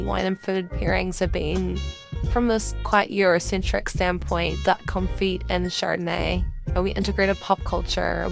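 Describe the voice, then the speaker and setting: A woman's voice: lowish voice, gravelly. A middle-aged woman from California, a wine company co-founder, speaking in an earnest, upbeat documentary interview. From Central Coast of California. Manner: earnest, upbeat storytelling with light laughs.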